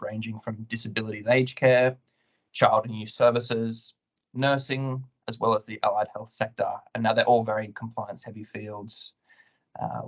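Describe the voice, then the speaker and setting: A man speaking as a webinar guest, answering questions in a measured, professional, explanatory way. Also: lowish voice, rough recording. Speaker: client guest, compliance lead.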